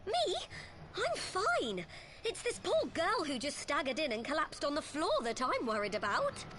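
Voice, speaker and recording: An actress voicing a tiny, cute, excitable female helper in a game cutscene, squeaky and fretful. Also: a little slow, a little hiss or hum, exaggerated voice. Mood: panicked. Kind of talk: scripted video game cutscene acting.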